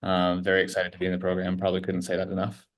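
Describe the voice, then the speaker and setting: Deep, flat-toned man. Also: racing. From Canada. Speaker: course participant, dentist. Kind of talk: casual self-introduction.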